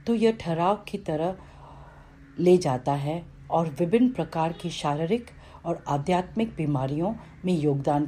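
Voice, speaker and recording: A woman reading a scripted guided meditation in a calm, instructional way, with pauses between phrases. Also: lowish voice, slightly rough.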